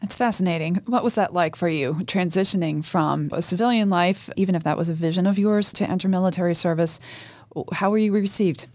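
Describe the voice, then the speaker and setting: A woman's voice: lowish voice, a little fast, a little mellow, no echo, clean studio sound. A woman hosting a podcast, interviewing a guest in a friendly, upbeat way. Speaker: podcast host.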